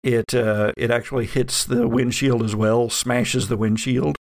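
A man acting as game master, narrating a horror tabletop game in a halting, descriptive way. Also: mid-range voice, steady tone.

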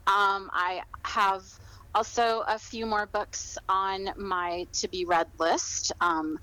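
A woman in middle age talks as a guest in a casual interview. She is chatty and informal, circling back to repeat phrases as she builds her answers. Her pitch sits in a middle range for a woman. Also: steady tone, takes it slowly, bright, forceful tone, rough recording. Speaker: podcast guest, author.

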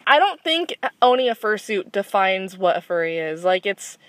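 A woman's voice: medium pitch, sing-song, normal speed, fairly bright tone, dry recording. A young woman in casual group chat, talking earnestly and rambling with restarts. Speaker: panel guest in a fan discussion.

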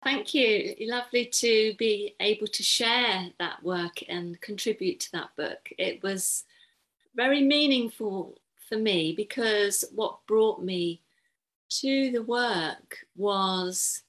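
A middle-aged woman, speaking thoughtfully and earnestly as an interview guest, with measured pauses. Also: medium pitch, a bit bright. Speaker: podcast guest, therapist and coach.

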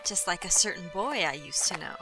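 An actress playing a gentle, devoted young mother and wife in a melodramatic audio drama. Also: on the low side, animated, fairly quick, rough recording. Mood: tender. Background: music.

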